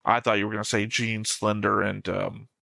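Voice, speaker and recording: A man's voice: on the low side, level tone, moderate pace, gravelly, bright, forceful tone, no echo. A man in a casual fan discussion as a show co-host, talking in a pedantic, insistent way.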